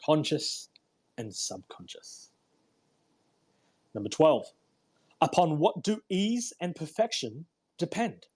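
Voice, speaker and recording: Husky-voiced man reading aloud. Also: a little high, big pitch swings, slow, long pauses, a little mellow. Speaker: host reading a self-help book aloud. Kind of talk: read-aloud audiobook with informal commentary. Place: live online audio room broadcast.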